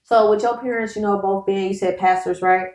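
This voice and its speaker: A woman hosting a podcast interview, a little drunk, relaxed and affirming. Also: mid-range voice, brisk. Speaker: podcast host. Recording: echoey room.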